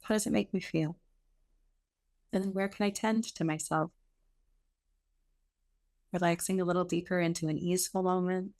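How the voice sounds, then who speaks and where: A woman meditation teacher giving gentle guided instruction in a soothing, unhurried way with pauses between short phrases. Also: lowish voice, fairly even intonation.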